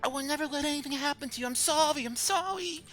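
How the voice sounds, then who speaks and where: A man's voice: extremely high pitch, quick pace, a bit bright, faint music behind, pitched above the performer's own voice. A man voicing a small, skittish kobold creature in a jittery, apologetic way for a tabletop game. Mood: anxious, apologetic.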